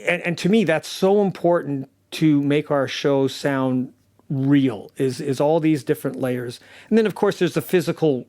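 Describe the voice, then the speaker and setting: A man's voice: on the high side, moderate pace, darkish tone. A man who works as a sound designer explains his process in an earnest, emphatic interview manner. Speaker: audio drama sound designer.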